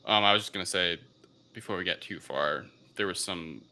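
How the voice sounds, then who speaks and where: A man with a low, very bright and forceful voice hosts a casual movie discussion. He recounts things haltingly, rambling as he feels his way through each point. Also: slight background noise. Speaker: podcast host. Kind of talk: casual film review discussion.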